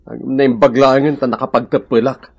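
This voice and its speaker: An actor playing an apologetic but easily offended neighbour and husband in a radio drama, with an Ilocano accent. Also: male voice, medium pitch, flat delivery, normal speed, creaky, husky. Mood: offended, grumbling.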